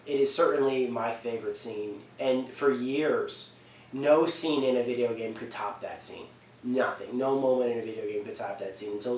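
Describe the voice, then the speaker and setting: A man giving casual, enthusiastic but hesitant gaming commentary, full of fillers and restarts. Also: medium pitch. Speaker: gaming video creator. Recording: roomy distant mic with faint hiss.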